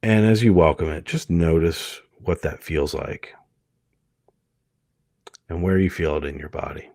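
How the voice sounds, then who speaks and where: A man leading a guided hypnosis meditation, speaking gently and soothingly in short phrases with many pauses. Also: sits low, gravelly, no room sound, studio quality.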